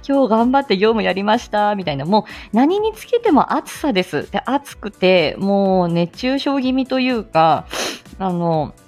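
Lively woman chatting on a livestream. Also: mid-range voice, unhurried, faint music behind. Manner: giggly, chatty and playful. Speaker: host of a live audio stream; speech-language therapist. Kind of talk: casual live-stream chat with listeners.

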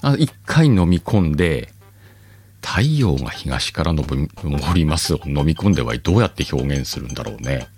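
A man hosting a podcast alone, chatting casually in a rambling, self-mocking way with drawn-out pauses. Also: very deep voice, sing-song, a little mellow, slight background noise. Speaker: podcast host. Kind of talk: casual solo chat.

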